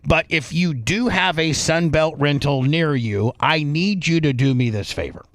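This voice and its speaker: A man's voice: fairly high pitch, measured pace, a bit bright, no echo. Rambling, emphatic American man hosting talk radio. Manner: rambling, repetitive, emphatic listing. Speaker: radio show host. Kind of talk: unscripted talk radio sponsor pitch.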